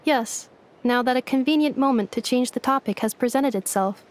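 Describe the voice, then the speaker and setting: A woman's voice: high voice, moderate pace, natural voice. An actress voicing a polite, literal-minded female robot in a video game, speaking in stilted, formal, emotionless phrases. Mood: flat, inquisitive. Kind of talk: scripted video game dialogue.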